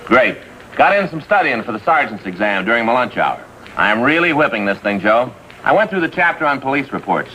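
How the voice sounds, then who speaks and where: A man's voice: mid-range voice, very animated, a little fast, bright, forceful tone. A man playing an earnest, chatty police detective sidekick in a 1950s TV drama, with an American accent. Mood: cheerfully boastful. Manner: eager, chatty, self-satisfied. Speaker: supporting actor. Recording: faintly noisy vintage TV soundtrack.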